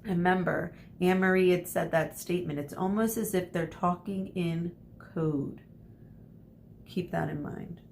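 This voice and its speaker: A woman teacher reading a storybook aloud expressively and deliberately, pausing to explain to her listeners. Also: fairly even intonation, creaky, a little mellow. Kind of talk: storybook read-aloud with short asides. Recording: noisy with low rumble.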